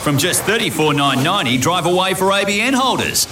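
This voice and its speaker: A man's voice: high-pitched, lively intonation, brisk, pushed and bright, reverberant, soft background music. A man acting a proud new owner in a radio car ad. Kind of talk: radio ad dialogue.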